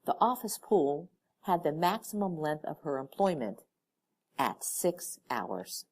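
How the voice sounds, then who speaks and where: A woman narrating a fan fiction audiobook in an expressive storytelling manner. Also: low voice, slow pace. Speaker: audiobook reader. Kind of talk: scripted narration of fan fiction, with character dialogue.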